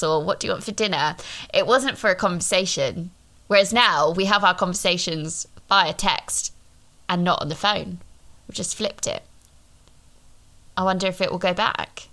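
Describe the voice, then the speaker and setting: A woman's voice: on the low side, moderate pace, bright, forceful tone. A British woman in her thirties co-hosting a casual book discussion in a conversational, reflective way. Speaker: podcast co-host.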